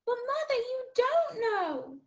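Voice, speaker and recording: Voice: very high voice, very animated, slow pace, full character voice. A performer playing a frightened, dutiful fourteen-year-old boy in a radio play, urgent and pleading. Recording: dull, noisy recording.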